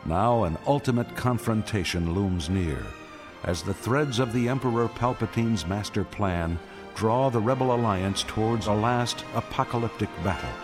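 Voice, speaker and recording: A man delivers scripted radio drama narration with portentous weight. His somewhat low voice is measured and grave, set against a prominent musical score. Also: over music. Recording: orchestral score behind the voice.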